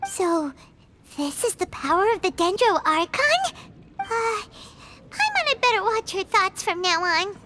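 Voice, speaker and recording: Tiny excitable game fairy, super squeaky kid-like voice, swooping all over the place. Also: female voice, unhurried, slight background noise, exaggerated voice. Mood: wary. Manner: speaks of self in third person, exclamatory. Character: tiny excitable female fairy companion, childlike. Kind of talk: video game voice acting.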